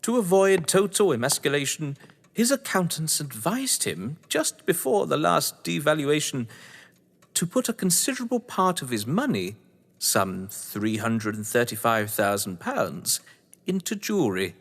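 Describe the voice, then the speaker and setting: A man's voice: on the high side, big pitch swings, measured pace, long reverb tail, full character voice. A man playing a suave, scheming, condescending English crime novelist in a theatrical audio drama, with wry, florid delivery. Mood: sly, conspiratorial. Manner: pompous, wry, florid phrasing.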